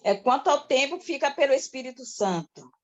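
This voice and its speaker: A woman's voice: high-pitched, animated, fairly quick. A woman from Salvador, moderating a prayer group and politely welcoming a guest speaker over a video call. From Salvador, Bahia, Brazil. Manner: polite, respectful. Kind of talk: formal welcome and introduction. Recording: muffled video call audio.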